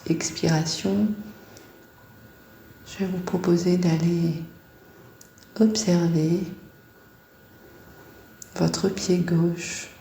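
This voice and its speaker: Slow, low-voiced woman guiding meditation. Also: gravelly, roomy sound, a little hiss or hum. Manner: calm, soft-spoken, unhurried with long pauses. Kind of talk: guided body scan meditation.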